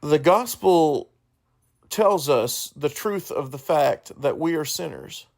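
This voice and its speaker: A man hosting a solo podcast in an informal, earnest way, halting and correcting himself as he goes. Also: a little high, expressive, gravelly, darkish tone. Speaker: podcast host.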